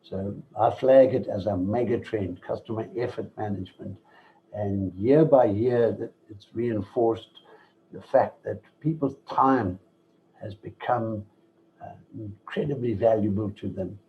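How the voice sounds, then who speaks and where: A man giving an online masterclass from slides, measured and listing points with pauses and fillers, over a computer mic without a headset. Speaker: masterclass presenter. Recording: dull low-fi online call audio with faint noise.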